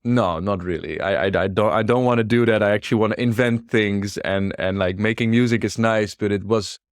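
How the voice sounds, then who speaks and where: A young Dutch man with a Dutch accent, a startup founder chatting as an interview guest, relaxed and laughing softly. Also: lowish voice, brisk, clean tone, dry recording, clean studio sound. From Netherlands. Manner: relaxed, frequent restarts and soft laughs. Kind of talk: casual interview chat.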